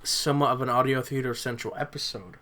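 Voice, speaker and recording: A young man with a mid-range voice in casual podcast conversation. He speaks haltingly, doubling back on words as he offers his take as co-host. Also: medium pitch, steady tone, sharp tone. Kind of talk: casual chat reviewing audio dramas.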